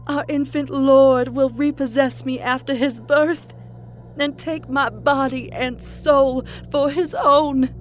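A woman narrator voicing a fanatical, mocking woman patient in religious ecstasy, proclaiming in drawn-out phrases for an audiobook. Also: sits high, steady tone, a little hiss or hum, voice pushed up. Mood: fervent proclaiming. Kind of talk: dramatic audiobook character acting.

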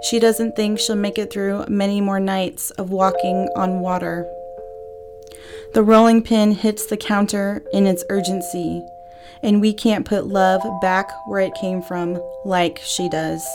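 Woman reading poetry real slow, clear music playing behind her. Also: medium pitch, steady tone. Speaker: poet reading own poem.

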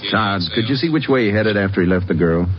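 Man playing lawman, very low voice, quick clipped questions, businesslike, muffled radio western. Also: expressive, close to an everyday voice. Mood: brisk, probing. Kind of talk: 1950s radio western acting. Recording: muffled, noisy old radio recording.

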